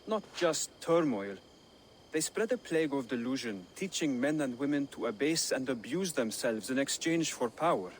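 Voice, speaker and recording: A man's voice: mid-range voice, expressive, normal speed, a little hiss or hum, lightly acted, rough recording. An actor playing an earnest young assassin in a video game, explaining his creed formally and solemnly. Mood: solemn, fervent. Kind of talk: dramatic video game cutscene acting.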